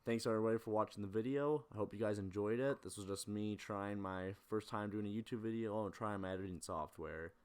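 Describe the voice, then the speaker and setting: A man with a fairly low voice narrates a film analysis video. He is blunt and opinionated, sliding between scripted essay and casual, sweary commentary. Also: brisk, hardly any pauses, a little mellow, smooth.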